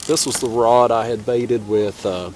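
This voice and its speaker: A man's voice: medium pitch, fairly even intonation, a little slow, darkish tone. A young man, nasal from a cold, chatting casually as he narrates a night fishing trip from a kayak on a river. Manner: laid-back, chatty, drawling. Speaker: fishing vlogger. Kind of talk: casual outdoor vlog narration. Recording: distant camera mic outdoors, faint noise.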